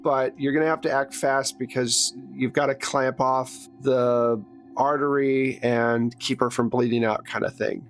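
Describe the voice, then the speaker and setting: A man's voice: mid-range voice, fairly even intonation, unhurried, faint music behind. A man running a tabletop roleplaying game, narrating in a conversational, improvised way. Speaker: game master.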